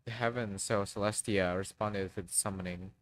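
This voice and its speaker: A man's voice: fairly low pitch, steady tone, moderate pace, a little hiss or hum. A man streaming, reacting to a video game cutscene with muttered comments and exclamations.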